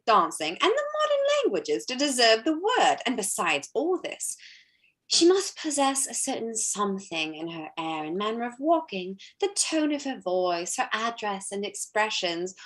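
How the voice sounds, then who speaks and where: Woman as young society lady, haughty, affected, animated intonation, theatrical period drama. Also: on the high side. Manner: arch, affected, gushing.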